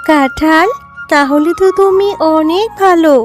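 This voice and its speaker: A woman voicing a poor, gentle jackal mother in a children's cartoon, with an earnest, plaintive manner. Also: extremely high pitch, measured pace, soft background music. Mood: grateful, pleading.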